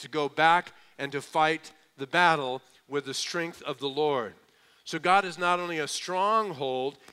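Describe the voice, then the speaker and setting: A man preaching a sermon, lively and conversational, slowing down hard on key phrases and slipping into quick mock voices of doubters. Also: a little high, clean tone. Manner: lively preaching, mimics skeptics, slows for emphasis. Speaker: pastor.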